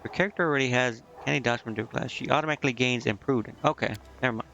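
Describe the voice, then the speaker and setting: A man's voice: mid-range voice, rapid-fire, a bit bright, lo-fi sound. A man giving casual live gameplay commentary, thinking aloud to himself in a hesitant, muttering way. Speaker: game streamer.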